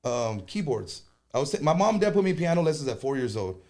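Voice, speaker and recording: A man speaking, a conjunto band leader chatting casually as a guest, animated and joking, with quick bursts. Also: a little high. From Texas. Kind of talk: casual interview chat.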